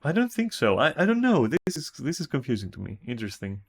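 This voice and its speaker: A man with a Greek accent talks through his thoughts on a stream, his voice sitting at an ordinary middle pitch. He questions himself as he goes, repeating and restarting his sentences. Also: huge pitch swings, fast, husky. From Greece. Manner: thinks aloud, many restarts and puzzled questions. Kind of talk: casual stream commentary, reacting to a text.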